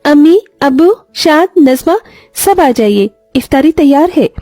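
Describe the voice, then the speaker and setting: A woman's voice: high voice, animated, measured pace, mellow, no room sound, soft background music, pitched above the performer's own voice. A woman voicing a kind, devoted young daughter-in-law in a moral story, speaking earnestly, respectfully and with feeling. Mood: warm, caring.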